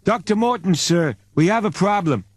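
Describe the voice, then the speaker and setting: Man acting a high-voiced deferential junior spaceship officer. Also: expressive, normal speed, no room sound. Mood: anxious, deferential. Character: earnest male junior spaceship comms officer. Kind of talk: audiobook character voice.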